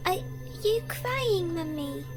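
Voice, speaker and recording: Woman as very slow, high-voiced little girl. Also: lively intonation, full character voice. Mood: gentle, pleading. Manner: soft, childlike, slow sing-song. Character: eerie, gentle little girl, pleading. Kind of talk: audio drama acting. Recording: loud music over the voice.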